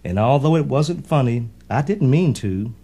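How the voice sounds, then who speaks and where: Guy doing a sorry, gentle mama cow, rhyming kids storybook. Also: medium pitch, lively intonation, normal speed, breathy edge. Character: apologetic, gentle motherly female cow. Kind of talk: rhyming children's storybook character.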